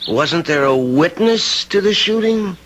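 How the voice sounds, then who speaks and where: An actor playing a sickly, ingratiating young boarder in a radio mystery drama, halting and evasive, with a cough. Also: male voice, high voice, animated, noisy background. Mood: probing, skeptical. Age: young adult. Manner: hesitant, halting, coaxing. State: coughing, unwell. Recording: 1970s radio drama with music.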